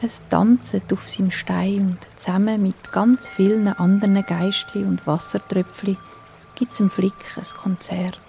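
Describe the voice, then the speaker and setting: A woman with a Swiss German accent, telling a bedtime story in a soft, hushed, soothing way. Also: medium pitch, level tone, a little slow, vocal fry, faint music behind.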